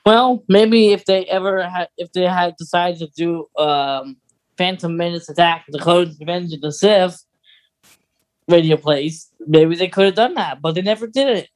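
A podcast co-host in casual film discussion, talking haltingly and thinking out loud. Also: mid-range voice, low-quality recording.

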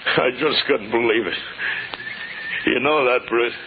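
A man's voice: medium pitch, a little slow, lightly acted. Man acting a wounded, anguished frontier man. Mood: pained, sorrowful. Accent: American. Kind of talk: 1950s radio western acting. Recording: tinny, hissy 1950s radio recording.

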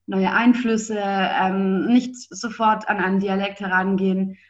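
A woman's voice: medium pitch, flat delivery, unhurried, lo-fi sound. A young German woman, a podcast guest, speaking fluently and thoughtfully. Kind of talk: casual interview.

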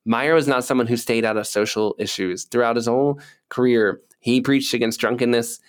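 A man's voice: medium pitch, steady tone, normal speed, smooth. A man co-hosting a podcast, explaining things in a casual, conversational style. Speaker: podcast co-host.

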